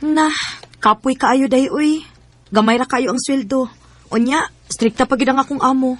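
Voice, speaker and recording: A young Bisaya Filipino single mother speaks in a high, earnest voice on a dry recording. She is tender, cautious and emotive, as in radio drama. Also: steady tone, moderate pace, dry recording. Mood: weary, hopeful.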